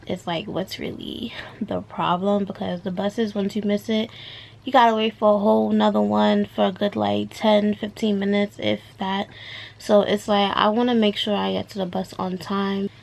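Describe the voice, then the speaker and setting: A woman of nineteen from New York, vlogging her morning routine at home in a relaxed, rambling way, her voice raspy from just waking up. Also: mid-range voice. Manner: chatty, drawn-out, filler-heavy talk. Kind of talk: casual morning-routine vlog. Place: at home, bedroom and bathroom.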